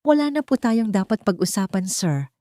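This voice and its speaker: A woman voicing a wounded but polite and firm young housemaid in a dramatized love story, speaking with quiet restraint. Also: medium pitch, big pitch swings, normal speed, dry recording, clean studio sound, voice pushed up, lightly acted. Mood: firm, guarded.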